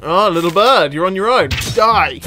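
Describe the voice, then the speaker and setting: Male gamer, unhurried and slightly high-pitched, casual play-by-play with an excitable streak, over prominent music. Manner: excitable exclamations, sweary banter. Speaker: gaming video creator. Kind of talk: casual gameplay commentary. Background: game music.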